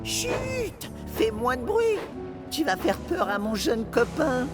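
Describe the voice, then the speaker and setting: A man voicing a young, kind-hearted little steam locomotive in a French children's cartoon, eager, earnest and expressive. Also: very high-pitched, slow pace, exaggerated voice. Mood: anxious hushing. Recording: loud music behind.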